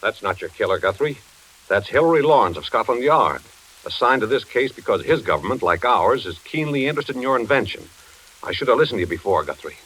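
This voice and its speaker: A man's voice: medium pitch, animated, fairly quick, fairly bright tone, husky, poor audio. An older American man playing an easygoing, reluctant retired investigator in 1940s radio suspense style, folksy and reassuring. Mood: serious, confiding.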